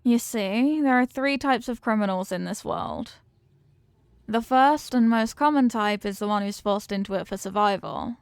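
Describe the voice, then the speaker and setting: A woman's voice: mid-range voice, clean tone, dry recording, clean studio sound, natural voice. A woman playing a confident, affectionate mafia boss in an intimate roleplay, teasing and streetwise, with slangy talk and pet names. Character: confident, doting female mafia boss, tough but affectionate. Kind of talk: intimate ASMR-style roleplay acting.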